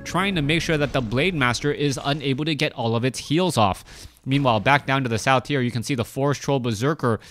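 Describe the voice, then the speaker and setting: Guy in his thirties doing chill game commentary, mid pitch, lots of ums and restarts, faint music behind. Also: fairly quick, a bit bright, no echo. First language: Cantonese. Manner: hesitant, many fillers and restarts. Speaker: game caster. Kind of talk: casual play-by-play commentary.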